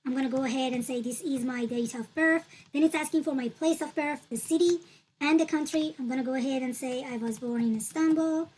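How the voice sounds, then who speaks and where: A woman whose first language is Turkish, calmly walking through an online form step by step, repeating her points with fillers. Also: high-pitched, a little fast, low-quality recording. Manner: patient, repetitive instructional talk with fillers. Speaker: tutorial presenter. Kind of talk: screen-recorded walkthrough.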